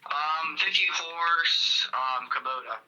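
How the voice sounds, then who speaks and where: A man's voice: fairly high pitch, slow pace, bright, forceful tone. A young man talking casually over a telephone, polite and a little hesitant. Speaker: prank call target. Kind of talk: casual phone conversation. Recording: voice over a phone call.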